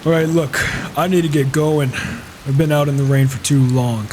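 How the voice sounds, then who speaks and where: A man's voice: fairly high pitch, steady tone, normal speed, natural voice. A man roleplaying an impatient, proud, reclusive warrior in a video game, talking in a grumbling, questioning way. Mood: skeptical, impatient. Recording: faint noise, rain behind, mic issues.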